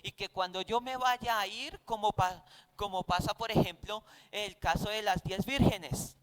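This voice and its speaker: A man's voice: high voice, steady tone, unhurried, a bit bright. A man with a Colombian accent teaching a Bible class in a didactic, conversational way, often posing rhetorical questions.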